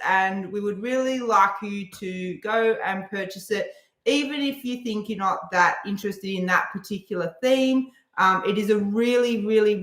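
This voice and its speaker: A woman co-hosting a casual live stream, relaxed, with lots of "um" pauses as she reads out comments. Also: mid-range voice, echoey room.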